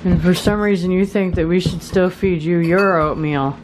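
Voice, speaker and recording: A woman's voice: fairly low pitch, steady tone, moderate pace, background noise. A woman talking casually to young children in sing-song baby talk, as a mother filming at home. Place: indoors in a home kitchen and dining area.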